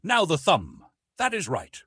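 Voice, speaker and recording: A man narrating plays an authoritative police official in a storybook. He instructs patiently and formally, with precise phrasing. His tone has a husky grain and lively rises and falls, in a dry recording. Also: a little high, a little slow, dry recording, pitched above the performer's own voice, full character voice. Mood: instructive.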